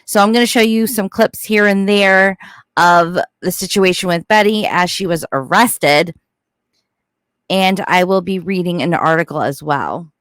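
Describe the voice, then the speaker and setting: A woman's voice: fairly low pitch, a little slow, a bit bright, no echo. A woman giving casual, opinionated true crime commentary in a blunt, informal way.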